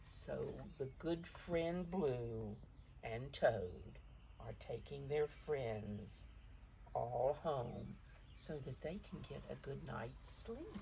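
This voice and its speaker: A woman reading a picture book aloud to young children, expressively, pausing to explain the pictures in simple words. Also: rumbling low, lively intonation, gravelly, warm tone, slight background noise, poor audio. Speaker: storybook reader for preschoolers.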